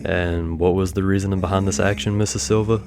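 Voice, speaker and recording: A man voices a stern police officer in a game roleplay. His voice is very low and he speaks formally and with measured control, over clear background music. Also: level tone, darkish tone. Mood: stern, official. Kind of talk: online game roleplay voice acting.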